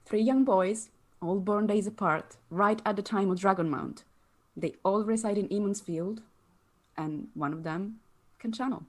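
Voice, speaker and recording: A woman's voice: mid-range voice, unhurried, natural voice. Solemn mystic woman, acted in a table read. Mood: grave, certain. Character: solemn woman of mystic power revealing a prophecy. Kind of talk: dramatic screenplay table read.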